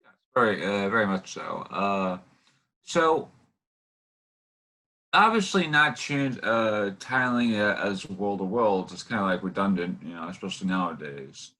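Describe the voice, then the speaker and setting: A man hosting an interview, asking casual questions in halting, meandering phrases. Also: fairly low pitch, animated, sharp tone, low-quality recording. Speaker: interview host.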